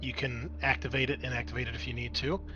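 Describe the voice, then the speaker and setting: A man's voice: mid-range voice, little pitch movement, fast talker, dull audio, music in the background. A man acting as game master, explaining a tabletop roleplaying scene in a casual, conversational way.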